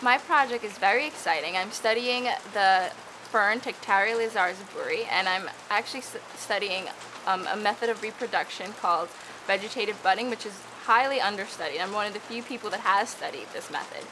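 A young woman from the Dominican Republic, a student researcher, talking eagerly to camera in a casual interview outdoors among tropical plants. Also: medium pitch. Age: young adult. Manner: eager, bubbly, quick restarts. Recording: steady rain noise behind.

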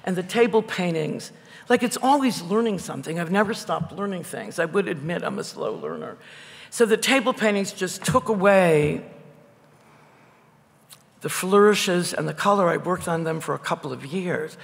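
An older woman painter with a loud voice, talking bluntly and wryly as the guest at a public conversation. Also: on the low side, sing-song, moderate pace, gravelly. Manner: blunt, wry and digressive. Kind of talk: candid conversational talk at a public event. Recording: echoey hall.

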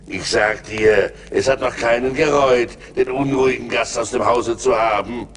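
An actor playing a sinister giant forest spirit in a radio play, coaxing and mocking in a slow, theatrical, creaky voice. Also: male voice, mid-range voice, steady tone, lightly acted. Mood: urgent persuasion. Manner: slow, theatrical, wheedling and scornful. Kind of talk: German radio play acting. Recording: 1980s vinyl radio play with music.

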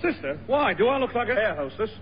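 High-pitched jovial military policeman. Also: sing-song, quick pace. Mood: jovial, teasing. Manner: jocular, chuckling at his own jokes. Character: jovial, teasing male air force police corporal. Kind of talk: 1960s radio sitcom acting. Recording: muffled, hissy old radio, echoey hall.

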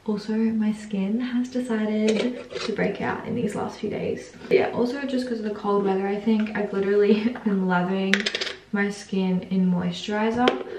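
A woman's voice: mid-range voice, darkish tone, reverberant, slight background noise, rough recording. A young woman, chatting casually and giggly to a handheld camera in a holiday rental. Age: 20s. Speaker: travel vlogger. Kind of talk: casual vlog chat to camera. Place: holiday rental house, indoors. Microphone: handheld camera at arm's length.

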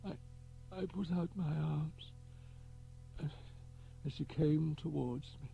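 Dying older man, voiced by the narrator, stammering, higher and rough-edged. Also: steady tone, dull audio, soft background music. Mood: broken, grieving. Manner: halting, stammering speech.

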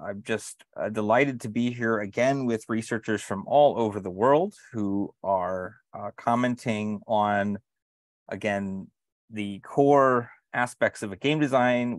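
Slow, low-voiced male moderator. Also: no echo. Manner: slow, filler-heavy introduction. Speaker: panel moderator. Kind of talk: introducing a conference panel.

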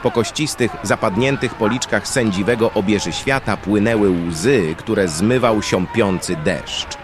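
A man's voice: lowish voice, a little slow. A man narrating a scripted fantasy audio drama in a dramatic storytelling style, with outdoor ambience behind him. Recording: clear background ambience noise.

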